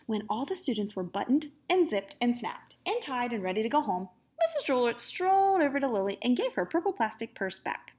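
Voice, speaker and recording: A woman reads a picture book aloud like a kindergarten teacher. Her voice is high and swings widely in pitch, with expressive storytelling and little asides to the children. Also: fairly quick, hardly any pauses, husky. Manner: animated, asks young listeners questions.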